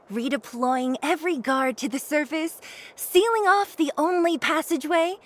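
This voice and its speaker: A woman's voice: high-pitched, a bit bright, full character voice. A woman playing a brash, scornful young female fighter from the underground in a video game, blunt and taunting.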